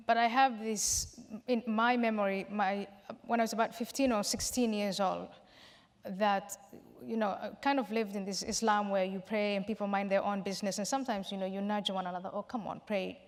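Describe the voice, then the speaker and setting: A woman from Somalia, a guest in a public question-and-answer session, explaining her points in a measured way with some restarts. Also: medium pitch, fairly even intonation. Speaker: panel guest. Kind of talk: public Q&A discussion. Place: large hall. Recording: reverberant hall.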